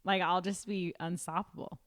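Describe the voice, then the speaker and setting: A woman's voice: lowish voice, little pitch movement, normal speed. A young woman in her twenties co-hosting a podcast, chatting casually and reflectively and saying "like" often. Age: 20s. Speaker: podcast co-host. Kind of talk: casual chat.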